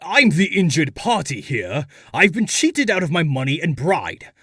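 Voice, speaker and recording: A man playing a pompous, hot-tempered nobleman in a comic dub, with a husky, declamatory voice. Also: a little high, sing-song, unhurried, fairly bright tone, a little hiss or hum. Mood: indignant. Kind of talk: amateur comic dub acting.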